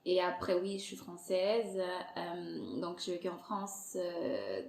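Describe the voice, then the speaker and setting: Podcast guest, woman in her thirties from Angola, Portuguese native speaker, animated and laughing, recorded in a reverberant room. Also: fairly low pitch, takes it slowly, vocal fry, a little hiss or hum. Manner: lively, laughs often, many fillers. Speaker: podcast guest, content creator. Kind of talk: casual interview chat. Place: Montreal. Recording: echoey room.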